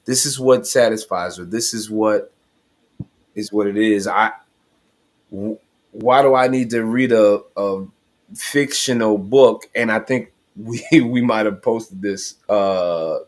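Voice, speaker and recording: Halting, low-pitched man hosting. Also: darkish tone. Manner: halting, posing questions. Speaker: podcast host. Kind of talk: casual panel discussion.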